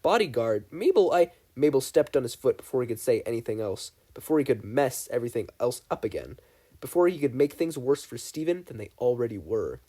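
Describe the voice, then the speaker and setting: A male audiobook-style narrator reads scripted fiction. His voice is mid-pitched and soft-edged, with a lively, varied intonation. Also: fairly quick. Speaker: podfic reader.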